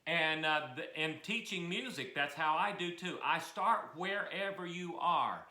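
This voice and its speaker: A folksy, chatty man in his sixties with a high voice, talking at an easy, unhurried pace. He hosts a music program from a mountain cabin room, and the roomy echo hangs around his words while an old piano sits faintly behind. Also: animated, bright, forceful tone. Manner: folksy, chatty, self-deprecating. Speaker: music teacher and host. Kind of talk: casual instructional chat.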